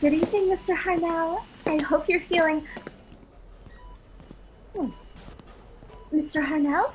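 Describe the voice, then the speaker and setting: A woman's voice: very high voice, steady tone, unhurried, slight background noise, rough recording. A woman playing a dutiful hospital nurse in a radio drama, urgent and businesslike. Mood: alarmed.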